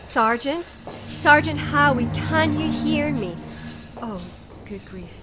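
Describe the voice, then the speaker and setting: A woman's voice: high-pitched, expressive, slow pace. A woman playing an anxious, caring nurse in an audio drama, concerned and coaxing. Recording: loud music under the voice.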